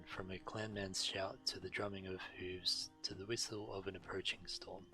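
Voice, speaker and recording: A man's voice: deep voice, rough recording. A man reading game dialogue aloud on a stream, now and then stumbling over words.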